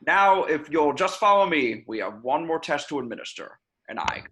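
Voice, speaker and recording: Bright-voiced stern agency chief, played by a man. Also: a little high, very animated, moderate pace, a little hiss or hum. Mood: official, brisk. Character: stern, exasperated agency chief, dry and official. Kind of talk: amateur film script table read.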